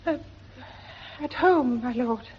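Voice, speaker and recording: An actress playing a gentle, grief-stricken young noblewoman in 1940s British radio Shakespeare, speaking plaintive verse in Received Pronunciation. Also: fairly high pitch, slow pace, background noise. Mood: frightened pleading. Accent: English Received Pronunciation. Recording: dull 1940s radio recording.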